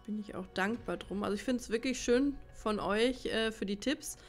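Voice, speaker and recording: A woman's voice: medium pitch, moderate pace. A woman giving casual gameplay commentary, rambling and thinking aloud as she plays. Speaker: let's player. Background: game music.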